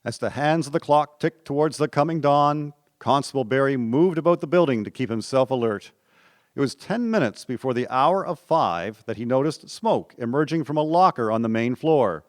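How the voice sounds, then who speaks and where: A man's voice: mid-range voice, expressive, normal speed, dry recording, studio quality. A man reading scripted narration for a radio drama. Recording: music under the voice. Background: instrumental music.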